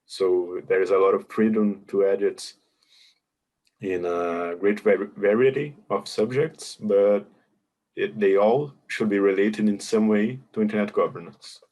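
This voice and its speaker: Man, webinar presenter, patient hesitant explanations, lowish voice, video call. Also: a little slow, a little mellow. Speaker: webinar presenter answering questions.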